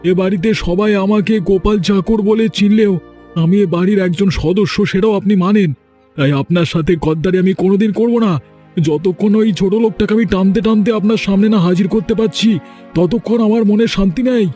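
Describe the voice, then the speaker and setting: Cartoon henchman male actor: devoted servant, raised pitch, vehement bully, soft music underneath. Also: brisk, close to an everyday voice. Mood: earnest devotion. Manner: vehement, hectoring. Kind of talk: cartoon voice acting.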